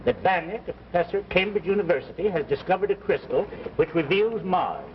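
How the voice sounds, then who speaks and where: A man's voice: high-pitched, expressive, a little fast. Loyal, careful male editor: swooping, emphatic delivery, vintage sci-fi drama, muffled recording. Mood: reasoning. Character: loyal, cautious male magazine editor, old friend. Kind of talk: 1950s science fiction anthology drama acting. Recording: dull, noisy 1950s broadcast recording.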